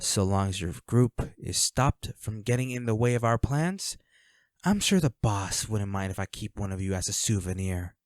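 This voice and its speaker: A man's voice: lowish voice, animated, normal speed, dry recording, clean studio sound, close to an everyday voice. A man playing a flirty, smug villain henchman in a roleplay audio drama, taunting a captive in a teasing, coolly controlling manner. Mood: smug, bargaining.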